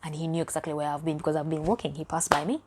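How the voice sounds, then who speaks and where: A woman's voice: low voice, steady tone, racing, heavy background noise. A young woman from Uganda telling her own life story candidly in a personal vlog, often dropping to a near whisper. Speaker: vlogger.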